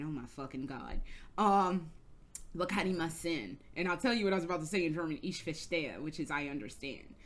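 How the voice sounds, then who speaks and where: A woman's voice: medium pitch, fairly bright tone. An American woman telling a rambling, casual story for her vlog, swearing freely and restarting her sentences often. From United States. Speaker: vlogger. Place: indoors, travelling in Germany.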